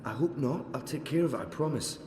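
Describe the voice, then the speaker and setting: An actor playing a tender, earnest, protective young father in a video game cutscene, with a gentle, reassuring manner. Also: medium pitch, fairly quick, echoey room, noisy background, natural voice. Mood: reassuring, subdued.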